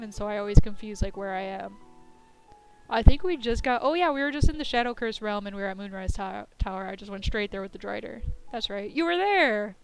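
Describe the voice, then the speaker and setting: Hoarse woman streamer, chatty and laughing. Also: on the high side. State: hoarse, losing her voice. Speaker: game streamer. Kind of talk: casual live-stream chat.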